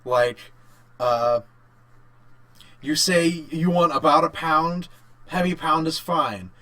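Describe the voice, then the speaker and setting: A man co-hosting a casual podcast chat, thinking aloud in short, halting bursts. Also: on the high side, bright, forceful tone. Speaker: podcast co-host. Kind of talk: casual chat about games and work.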